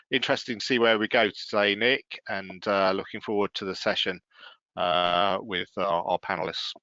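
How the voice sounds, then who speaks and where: A man's voice: on the low side, pushed and bright, dry recording, muffled recording. A man in his sixties introducing himself as a webinar panelist, hesitant and full of fillers, on a video call. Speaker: panelist, compliance director.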